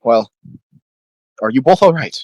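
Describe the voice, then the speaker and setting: A man's voice: medium pitch, lively intonation, a little slow, darkish tone, no room sound, poor audio. Man roleplaying at a game, dry close sound. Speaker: player in a tabletop roleplaying game; voice actor. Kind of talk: in-character roleplay and casual game chat.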